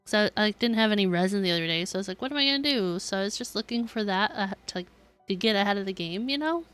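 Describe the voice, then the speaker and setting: A woman's voice: medium pitch, animated, very fast, fairly bright tone, smooth, faint music behind. A woman game streamer giving chatty, informal, self-deprecating live commentary as she plays. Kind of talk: casual live gameplay commentary.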